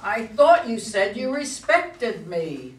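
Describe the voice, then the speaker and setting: A woman's voice: on the high side, very animated, slow pace. Echoey far-off play reading, actress doing older lady politician, proud, indignant, ready for a fight. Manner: indignant, emphatic. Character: combative, proud older woman politician, recovering alcoholic. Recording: echoey room, far from mic.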